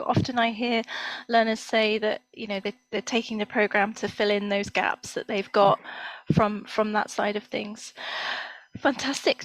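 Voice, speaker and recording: Chirpy woman host asking questions on a call, bit high voice, dry sound. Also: a bit bright, no echo, low-quality recording. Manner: upbeat and encouraging, frequent praise. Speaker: interview host. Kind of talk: hosted interview.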